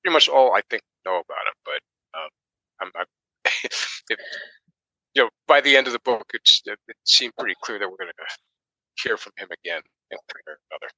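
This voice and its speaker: A man co-hosting a podcast, casually discussing a book in a halting, thinking-aloud manner. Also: on the low side, animated, sharp tone, husky, no room sound, rough recording. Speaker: podcast co-host.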